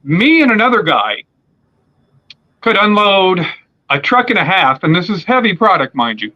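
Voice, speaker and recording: A man's voice: high-pitched, sing-song, normal speed, pushed and bright, dull audio. A man in his forties hosting a casual conversation, rambling with lots of fillers and restarts. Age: 40s. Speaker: podcast host.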